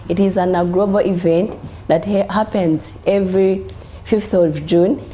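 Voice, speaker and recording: Woman guest, mellow, careful explainer. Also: on the low side, fairly even intonation, a little slow, slight background noise. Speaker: panel guest. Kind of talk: panel discussion answer.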